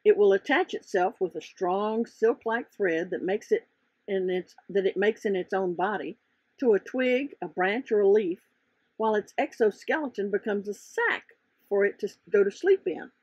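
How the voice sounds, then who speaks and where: A woman's voice: medium pitch, moderate pace, a little mellow, breathy edge, no room sound, highs cut off. A woman author reading their own children's science storybook aloud, explaining it expressively and with emphasis. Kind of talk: storybook narration with explanatory asides.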